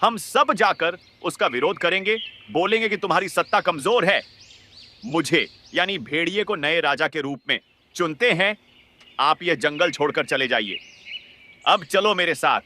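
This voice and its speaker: A man voicing a cunning, power-hungry wolf in an animated Hindi moral story, pushy and insistent. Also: a little high, measured pace, slight background noise. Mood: scheming.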